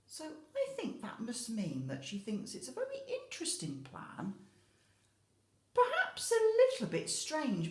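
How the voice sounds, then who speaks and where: The recording is roomy and echoey. A British woman with a slightly low voice speaks as an infant school teacher to young children. She explains storybook words expressively, leaning on key words and adding little questions to keep them involved. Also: darkish tone. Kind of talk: educational talk to young children about a picture book.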